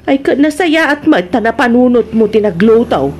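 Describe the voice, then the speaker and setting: Babai nga agak-akto a makaunget nga ina, naringgor ti rekording. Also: female voice, fairly high pitch, expressive, moderate pace, a little mellow, a little hiss or hum, lightly acted. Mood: teasing. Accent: Ilocano. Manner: nagging, sighing, exclamatory. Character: scolding, teasing mother of a lazy grown son. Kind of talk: Ilocano comedy radio drama acting.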